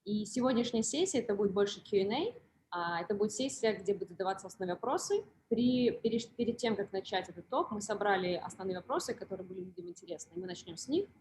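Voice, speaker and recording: A woman's voice: medium pitch, a little mellow, slight background noise. A woman hosting and moderating an online talk, speaking in an upbeat way and switching between English and Russian. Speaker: host and moderator. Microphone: video call. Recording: echoey room.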